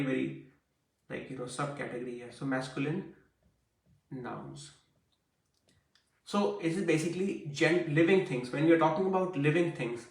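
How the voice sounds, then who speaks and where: Man, slightly high voice, online teacher, chatty and filler-heavy, roomy and off-mic. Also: distant mic. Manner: conversational, frequent fillers and "I see". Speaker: Hindi teacher.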